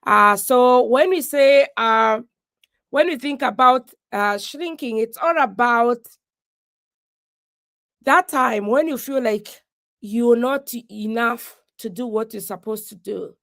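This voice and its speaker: A woman from Kenya giving a slow, halting testimony of faith on a video call, pausing often as she thanks God. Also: a little high, fairly bright tone, no echo, clean studio sound. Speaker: guest speaker.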